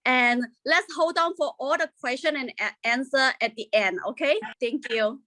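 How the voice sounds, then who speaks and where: Bright, high-voiced woman moderator. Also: moderate pace, no echo. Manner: warm, welcoming, thanks people at length. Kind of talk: introducing speakers at an event.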